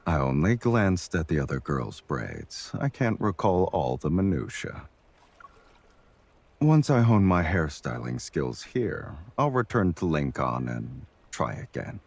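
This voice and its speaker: A man's voice: very deep voice, big pitch swings, gravelly, a little mellow, husky, full character voice. A man voicing a confident, teasing, devoted romantic leader in a romance game, speaking in an intimate way. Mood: playful teasing. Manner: slow, teasing, intimate delivery. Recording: music behind the voice.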